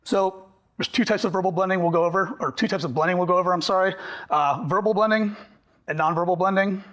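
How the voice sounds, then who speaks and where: Fast-talking, animated man, slightly hoarse. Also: high-pitched. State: slightly hoarse, losing his voice. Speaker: workshop presenter. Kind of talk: live workshop talk to a group.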